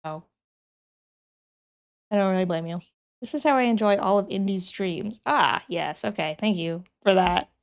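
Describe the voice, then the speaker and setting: A woman's voice: medium pitch, moderate pace, long pauses. A young woman streamer who is sick and sniffling, chatting casually with her chat and thinking aloud as she works.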